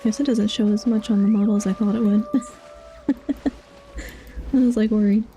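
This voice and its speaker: A woman's voice: medium pitch, steady tone, normal speed, mellow, a little hiss or hum. A sleepy-sounding woman chatting casually on a game stream, rambling and thinking aloud. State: sleepy, yawning. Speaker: live streamer.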